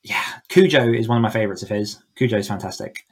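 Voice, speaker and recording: A man's voice: lowish voice, quick pace, husky. A man speaking casually as an interview guest, talking in an enthusiastic, effusive way. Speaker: podcast guest, horror author and coach.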